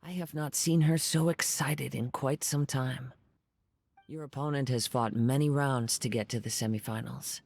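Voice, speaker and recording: An actor playing a calm, thoughtful young wandering swordsman in a video game, speaking in a measured, formal way. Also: medium pitch, creaky, no room sound. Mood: calm advice. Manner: measured, formal, soft-spoken.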